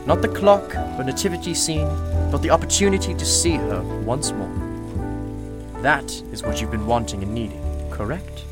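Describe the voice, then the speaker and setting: A patient, wise man of mystery, played by an actor, keeper of a magical shop in a radio drama. His mid-pitched voice is formal, measured and courteous, with loud music swelling behind it. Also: lightly acted. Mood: knowing, kindly. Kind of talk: radio play acting.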